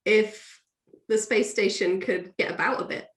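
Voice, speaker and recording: A woman's voice: medium pitch, fairly even intonation, measured pace. A woman guest author talking casually and with animation in an interview, often acting out what people say. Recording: echoey, roomy sound.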